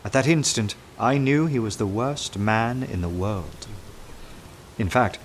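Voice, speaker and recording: Low-voiced, earnest detective. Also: male voice, animated, measured pace, a little hiss or hum. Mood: awed. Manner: intense, dramatic, hushed at times. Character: earnest, awestruck male detective narrating a nightmarish chase. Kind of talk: radio drama narration and dialogue.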